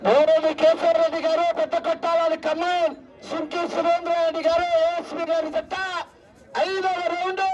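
A man commentates on a village race in an extremely high, exclamatory voice. He rouses people with long whoops and cries. The sound is distant and dull, as if over an outdoor loudspeaker. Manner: exclamatory, rousing, drawn-out whoops. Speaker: announcer at a rural bull race. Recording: muffled outdoor PA sound from far off.

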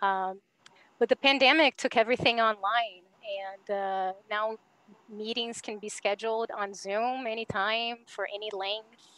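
Woman, thirties, Brazil-born panelist, halting casual talk over a video call. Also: mid-range voice, fairly even intonation, fairly bright tone, low-quality recording. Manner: hesitant, many fillers, laughs easily. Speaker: panelist, architect. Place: home.

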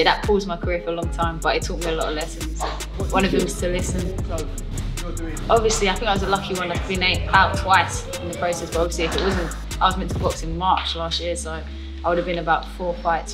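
A woman, a professional boxer chatting casually in an interview at a gym and laughing often. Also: lowish voice. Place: boxing gym, indoors. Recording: music playing under the voice.

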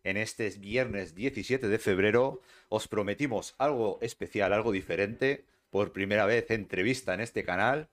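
Spanish man, 30s, low voice, podcast host reading a formal intro. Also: moderate pace, few pauses, a bit bright. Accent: Spain Spanish. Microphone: desk mic on video call.

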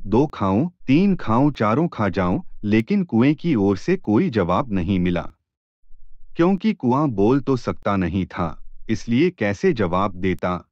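A man tells a children's story as a scripted narrator. His voice sits on the low side and moves with very lively, varied intonation. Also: no room sound, highs cut off.

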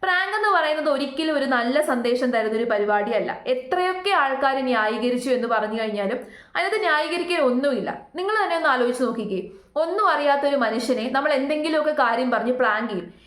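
High-voiced woman in an echoey room. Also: expressive, fast talker, a bit bright. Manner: emphatic, repeats points with rhetorical questions. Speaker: YouTube commentator. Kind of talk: opinionated reaction commentary. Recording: roomy, echoing sound.

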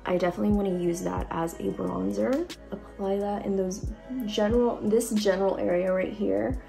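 Young woman beauty vlogger, cold-deepened voice, chatty, phone mic at home, faint music under. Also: fairly even intonation, normal speed, gravelly, dark tone. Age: young adult. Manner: chatty, lots of likes and ums. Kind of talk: casual makeup tutorial. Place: bright room at home. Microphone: phone camera at close range.

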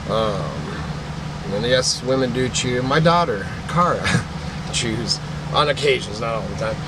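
A man's voice: mid-range voice, a little slow, heavy background noise. A man in his forties from Idaho, rambling and sentimental, showing thrift finds to a desk webcam in a cluttered indoor shop. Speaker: vlogger, antique picker. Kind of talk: casual chat to camera.

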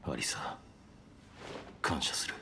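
An actor playing a brooding, guilt-laden young fighter in a game cutscene, terse and grave, with a low, hushed delivery. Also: male voice, slow, whispered, natural voice. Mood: somber, remorseful. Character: brooding young male fighter burdened by guilt, grimly resolved. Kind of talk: dramatic game cutscene acting.

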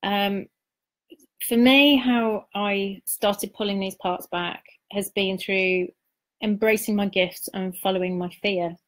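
Reflective middle-aged woman, confiding and halting. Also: medium pitch, fairly even intonation, fairly bright tone. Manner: reflective, confiding, halting with pauses and repeated words. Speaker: spiritual coach hosting a live stream. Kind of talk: informal live-stream talk sharing personal experience.

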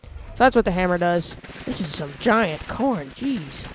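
A man hosting a casual gameplay video, talking through how he builds a vehicle, with excited yelps when he drives it. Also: sits high, a little slow, a little hiss or hum. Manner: chatty, explains while building, excited yelps when driving. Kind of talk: casual let's-play commentary.

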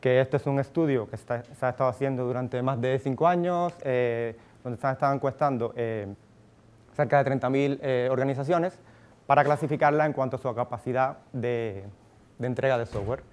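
A man in his thirties with a Cuban accent speaks to a conference room through a handheld mic. His voice is smooth and resonant at an ordinary pitch. He talks casually, thinking aloud with frequent fillers and restarts. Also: brisk, darkish tone. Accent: Cuban Spanish. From Cuba. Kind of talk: tech conference talk. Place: conference room, audience present. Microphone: handheld microphone.

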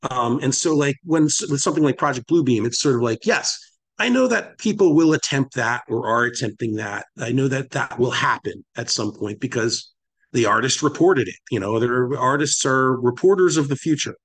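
A man hosts a conversational podcast, thinking aloud as he goes. His delivery is halting and reflective, with a mid-pitched voice and philosophical turns of phrase. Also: lively intonation, fairly bright tone, husky. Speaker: podcast host. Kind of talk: musing podcast discussion.

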